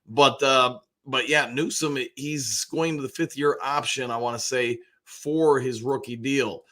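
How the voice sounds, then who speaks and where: Male American sports show host, medium pitch, mildly hoarse, casual conversational commentary that wanders as he goes. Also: fairly even intonation, unhurried, a bit bright. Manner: chatty, rambling, conversational. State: slightly hoarse. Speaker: sports show host, basketball coach and realtor.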